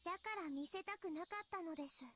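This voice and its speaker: A woman voicing a small, sweet but eerily calm schoolgirl in an anime visual novel, childlike and polite. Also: very high-pitched, racing, over a noisy crowd. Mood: calm, eerie. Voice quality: childlike, cutesy. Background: music, cicadas.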